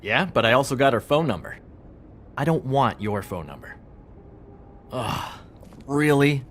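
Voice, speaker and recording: An actor playing a glib, smug pawnshop clerk in a video game, flippant and sarcastic. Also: male voice, mid-range voice, sing-song, a little slow, a bit bright, slight background noise. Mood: mocking.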